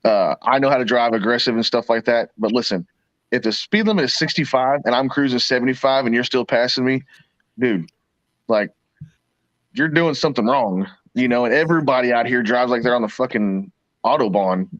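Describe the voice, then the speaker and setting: A man's voice: medium pitch, brisk, no room sound. A man from Texas telling blunt, profane driving stories in casual car talk. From Texas, Dallas-Fort Worth area. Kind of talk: casual storytelling. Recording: slightly dull dry line.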